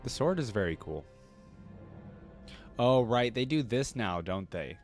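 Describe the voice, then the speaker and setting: A man's voice: mid-range voice, very animated, measured pace. A man giving casual reaction commentary as a host, informal and thinking aloud in questions and exclamations. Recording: music playing behind the voice. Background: instrumental theme music with piano and choir.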